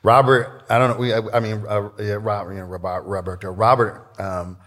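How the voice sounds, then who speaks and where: A man with a fairly low voice hosts a casual interview podcast. His talk is informal and snarky, like a conversation between acquaintances. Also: little pitch movement, fairly quick, a bit bright.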